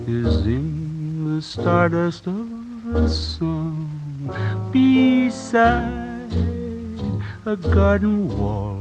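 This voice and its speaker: Casting: male ballad crooner, fairly high voice, very slow phrasing, dull vintage recording with guitar accompaniment. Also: very animated. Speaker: singer.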